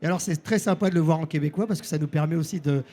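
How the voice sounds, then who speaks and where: A man from the interviewer's chair speaks French with an accent from France. His voice is high for a man and soft-edged, and he talks quickly, rephrasing as he goes in a casual style.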